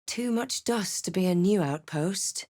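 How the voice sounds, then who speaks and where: A woman playing a brooding adventurer who narrates her own dark fantasy journey in a measured, literary storytelling style for a game. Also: mid-range voice, expressive, dry recording, studio quality. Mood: somber. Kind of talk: scripted first-person game narration.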